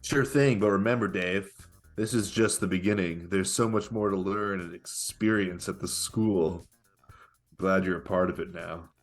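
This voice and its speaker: A man's voice: low-pitched, fairly even intonation, moderate pace, faint music behind, close to an everyday voice. A man playing a smug, pushy pickup-artist guru in a comedic table read, with a coaxing salesman manner. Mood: persuasive, pushy.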